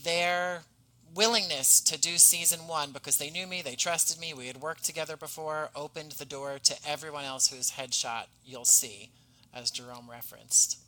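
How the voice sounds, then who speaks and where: A man speaking as an interview guest, articulate, measured and courteous in conversation. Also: a little high, steady tone, bright, forceful tone, rough recording. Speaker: podcast guest, playwright and writer.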